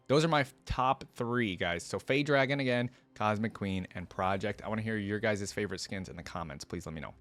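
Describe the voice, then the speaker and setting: A man hosting a casual video game reaction, thinking aloud in informal, slangy speech and addressing his viewers directly. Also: medium pitch.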